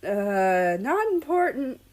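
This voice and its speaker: Woman doing a playful excitable guy on a road trip, storybook style, animated and casual. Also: a little high, slow pace, voice pushed up. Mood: defensive, playful.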